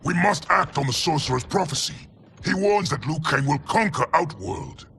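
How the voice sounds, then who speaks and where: A man playing a proud, belligerent warlord general in a video game, gravelly and declaiming formally with contempt. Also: a little high, expressive, normal speed, pushed and bright, slight background noise, heavily acted. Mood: agitated, defiant. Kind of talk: dramatic video game acting.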